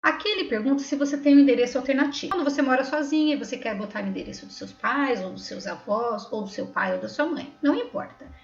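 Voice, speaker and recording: A woman's voice: high-pitched, expressive, fast, gravelly, hardly any pauses, a little hiss or hum. A woman from Brazil with a São Paulo accent, patiently walking viewers through an online form step by step and often checking they are following. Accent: Brazilian Portuguese, São Paulo. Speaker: tutorial host. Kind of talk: step-by-step screen tutorial voice-over. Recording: roomy, slightly dull recording.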